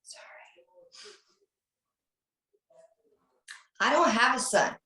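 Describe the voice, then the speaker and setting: A woman's voice: on the high side, animated, fast, pushed and bright, slight background noise. A woman playing a flirtatious, evasive mother in a screenplay table read over a video call. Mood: shaken, dismissive. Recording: roomy, echoing video call audio.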